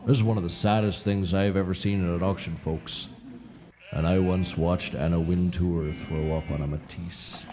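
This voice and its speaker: A man's voice: sits low, fairly even intonation, normal speed, mellow, lightly acted. An actor playing a droll, folksy livestock auctioneer in a scripted comedy, with a dry, wry showman's delivery. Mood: dry mockery.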